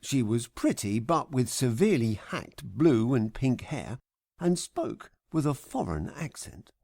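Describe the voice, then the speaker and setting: A middle-aged man reading scripted first-person comic narration in a wry, deadpan, mock-grand manner. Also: medium pitch, measured pace, vocal fry, dry recording, studio quality. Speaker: author reading own audiobook.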